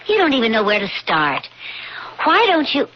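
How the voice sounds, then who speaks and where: A woman's voice: a little high, huge pitch swings, moderate pace, noisy background. An affectionate, concerned woman talks as a sweetheart in a radio drama. The sound is dull and lo-fi. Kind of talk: 1980s radio drama acting.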